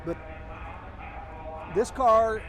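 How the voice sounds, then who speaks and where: A middle-aged or older man giving casual expert commentary as a guest, halting and deliberate, repeating words for emphasis. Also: high voice, a crowd in the background. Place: outdoors at a car show or auction.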